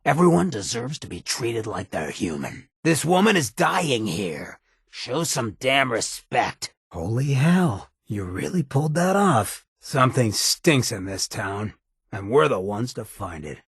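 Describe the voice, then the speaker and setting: An actor voicing a streetwise, roguish ghoul gang boss in a video game, with a heavily raspy voice and a sardonic, slangy swagger. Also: male voice, mid-range voice, sing-song, measured pace, fairly bright tone, no room sound, studio quality, exaggerated voice. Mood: stern. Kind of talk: game companion dialogue lines.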